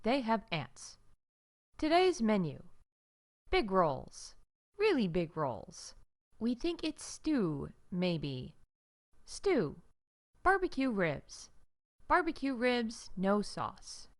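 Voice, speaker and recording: A woman playing an upbeat theme park announcer in space, reading scripted comedic announcements in a deadpan corporate manner with emphatic asides. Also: medium pitch, drawn-out words, long pauses, exaggerated voice.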